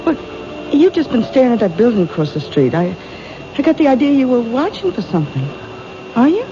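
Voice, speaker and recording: A wisecracking American waitress chats in a high, swooping voice, nosy and forward. It is old radio drama, dulled and noisy. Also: female voice, very animated, a little fast. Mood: curious, wary. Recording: noisy, muffled vintage radio broadcast.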